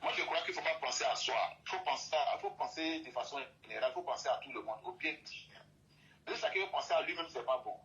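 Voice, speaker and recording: A man's voice: mid-range voice, quick pace, fairly bright tone, muffled recording. A man from Côte d'Ivoire, speaking as a guest in an impassioned talk and repeating and restating his phrases for emphasis.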